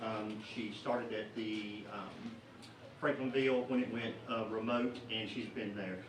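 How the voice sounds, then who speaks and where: A middle-aged man, a parent, giving public comment at a school board meeting, earnest and halting with many fillers. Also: on the low side, steady tone. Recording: echoey meeting room, distant mic, noisy.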